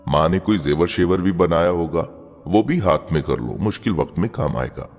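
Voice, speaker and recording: Man voicing an eager scheming boyfriend, very deep. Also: lively intonation, moderate pace, a little mellow. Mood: sly, urging. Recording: long reverb, music bed behind.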